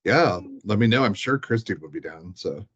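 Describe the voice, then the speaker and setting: A man's voice: on the low side, animated, normal speed, fairly bright tone, husky, lo-fi sound. A man chatting casually as a guest, in an informal, loose conversational way. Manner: informal, frequent filler 'like', giggly. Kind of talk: casual chat about tabletop gaming.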